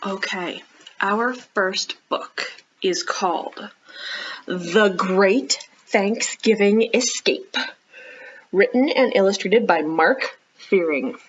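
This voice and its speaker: A woman hosts a read-aloud for young children. She speaks at an unhurried pace and addresses the kids directly, often inviting answers. The sound is a little dull and lo-fi. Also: mid-range voice, slightly rough. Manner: child-directed, asking questions and pausing for answers. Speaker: children's librarian hosting storytime.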